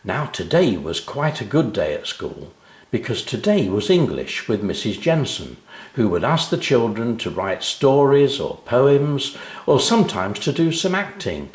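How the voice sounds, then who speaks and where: A man reading his own children's story aloud in an animated storytelling style. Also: medium pitch, measured pace, echoey room.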